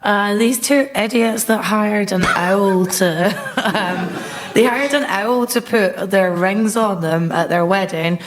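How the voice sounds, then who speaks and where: A woman's voice: medium pitch, slow pace, a crowd in the background. A woman comedian on a panel show, telling comic anecdotes in a conversational way. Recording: noisy live comedy show recording. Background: audience laughter.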